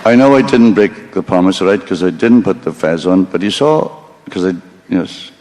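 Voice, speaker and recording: A man's voice: sits low, lively intonation, normal speed, a little mellow, some chatter behind, rough recording. A man giving a rambling live stage monologue, swearing freely, mocking himself and stopping to apologize for his slip-ups. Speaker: stand-up storyteller.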